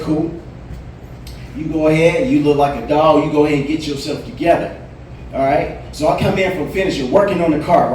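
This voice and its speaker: A man's voice: on the high side, darkish tone, recorded from a distance, a little hiss or hum. A man in his late forties doing a stand-up comedy set in a bar, telling stories in a laid-back way with punchlines. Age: 40s. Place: bar stage. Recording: roomy bar, voice from afar.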